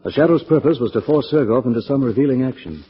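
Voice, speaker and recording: A resolute gentleman detective in a vintage radio drama. He talks fast, with firm, protective confidence. The recording is dull and muffled. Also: medium pitch, lively intonation, breathy edge. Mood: determined. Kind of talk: 1940s radio drama acting. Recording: muffled old radio broadcast.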